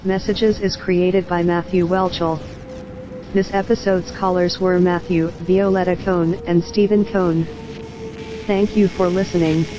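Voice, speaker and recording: A man's voice: sits high, level tone, a little slow. A man reading scripted closing credits as a narrator. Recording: dull, band-limited sound over music.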